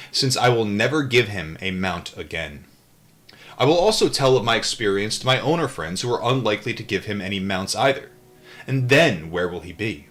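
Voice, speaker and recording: A man with a fairly low voice reads aloud to an online audience. His delivery is that of an informal audiobook host, with irreverent, off-the-cuff commentary in a rambling style. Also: fairly bright tone. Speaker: host reading a book aloud to a live chat. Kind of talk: audiobook-style reading with casual off-the-cuff asides.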